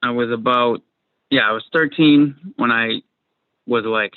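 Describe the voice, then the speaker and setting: A man's voice: medium pitch, measured pace, clean tone, no room sound. A young man from Massachusetts with a Massachusetts accent, chatting casually as a guest over a video call, rambling and repeating his words. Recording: dry tinny video call audio.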